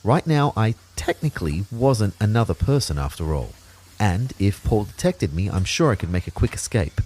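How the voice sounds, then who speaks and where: A man's voice: fairly low pitch, sing-song, normal speed, a little mellow, breathy edge, low-quality recording. A man playing an anxious, introspective man who narrates his own story in a reflective, wry way for an audio drama. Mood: worried. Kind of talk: first-person scripted audio drama narration.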